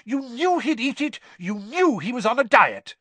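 A man voicing an irate middle-aged wizard father in an audiobook, shouting indignantly as he scolds his sons. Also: very high-pitched, big pitch swings, moderate pace, no room sound, pitched above the performer's own voice, heavily acted. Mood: furious.

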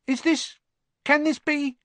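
A man's voice: fairly high pitch, wildly swooping pitch, moderate pace, fairly bright tone, no room sound, pitched above the performer's own voice, full character voice. A man voicing an old, bald male barman, awed and effusive.

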